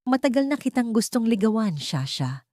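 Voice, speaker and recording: A woman's voice: a little high, very animated, moderate pace, mellow, dry recording, clean studio sound, pitched above the performer's own voice. A woman voicing an earnest, tender young male suitor pleading his love in a romance audio story.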